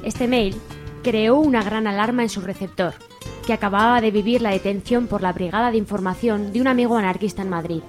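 A woman's voice: mid-range voice, fairly quick, darkish tone, faint music behind. A woman with an accent from Spain reading scripted narration for a radio drama.

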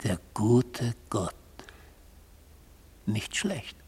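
An actor playing an old, sardonic, unrepentant god on trial in a literary radio play, speaking deliberately and with irony. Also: male voice, lowish voice, big pitch swings, darkish tone, full character voice. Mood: wry, unruffled. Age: older. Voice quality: husky, gravelly old voice. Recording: reverberant 1970s radio drama studio.

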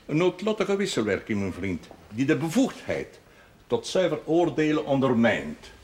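A man in his sixties playing a stern, erudite village pastor in a period TV drama, measured, pompous and sardonic. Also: mid-range voice, very animated, a little mellow, a little hiss or hum, lightly acted. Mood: solemn, lecturing. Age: 60s. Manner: pompous, measured, sententious, slipping into French and Latin. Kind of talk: television period drama acting.

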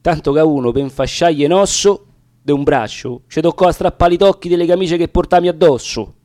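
A man's voice: a little high, fairly quick, darkish tone, no echo. Roman man, dry close sound, dramatic recitation. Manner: solemn, theatrical. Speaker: reader of dialect poetry. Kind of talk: dramatic recitation of narrative verse.

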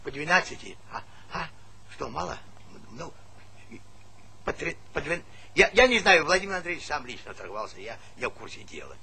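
A man acting a flustered, obedient village subordinate in a Soviet stage comedy, stammering in a creaky voice. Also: a little high, big pitch swings, moderate pace, pushed and bright, exaggerated voice. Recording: noisy old theatre recording.

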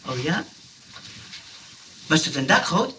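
Sheepish burglar, off mic. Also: male voice, fairly high pitch, sing-song, slow, bright, forceful tone, full character voice. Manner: hesitant, feigning innocence. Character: sheepish burglar making feeble excuses. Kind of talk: old radio play acting. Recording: distant, noisy old radio recording.

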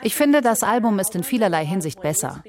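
A woman's voice: medium pitch, big pitch swings, fairly quick, darkish tone. A woman reading a scripted voice-over translation of an interview.

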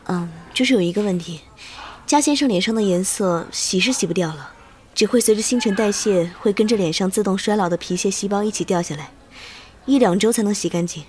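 A woman playing a calm, clinical doctor who explains things plainly in an audio drama. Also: mid-range voice, brisk, a little hiss or hum, lightly acted. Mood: clinical, reassuring. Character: matter-of-fact female doctor, calmly explaining.

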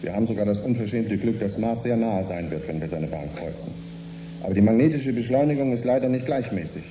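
An actor playing a matter-of-fact spaceship navigator who explains things precisely in a radio drama. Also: male voice, on the low side, expressive, fast talker, natural voice. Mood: explanatory. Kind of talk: German radio drama acting. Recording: roomy studio with faint hum.

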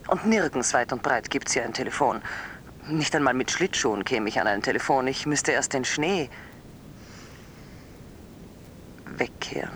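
An actress playing a dreamy, literal-minded caller to a radio show, halting and hushed. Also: female voice, very deep voice, expressive, a little hiss or hum. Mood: dreamy, entranced. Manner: halting, hushed, trance-like, naive. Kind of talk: radio drama acting.

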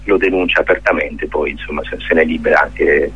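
A man's voice: very deep voice, steady tone, a little fast, a bit bright, a little hiss or hum. A middle-aged man, talking casually and humorously in a rambling, self-ironic way as a phone-interview guest on the radio. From Abruzzo, Italy. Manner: rambling, self-ironic, repeats words while searching. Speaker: guest, stage actor and solo performer. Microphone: telephone. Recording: muffled, husky telephone line on radio.

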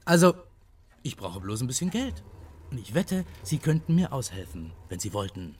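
A man's voice: mid-range voice, big pitch swings, moderate pace, slight background noise, close to an everyday voice. A man playing a cocky, menacing small-time crook in a radio crime drama, taunting and theatrical in delivery. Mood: needling, aggrieved.

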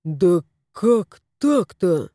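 A woman's voice: fairly low pitch, sing-song, extremely slow, dark tone, dry recording, clean studio sound, heavily acted. A woman voicing a flustered young man, a cat owner, asking anxious questions. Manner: flustered, pleading.

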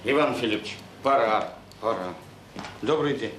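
An actor playing a self-satisfied deputy department chief, defensive and dismissive, in a naturalistic radio drama. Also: male voice, mid-range voice, moderate pace, lightly acted. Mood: breezy. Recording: echoey reverberant radio play, faint noise.

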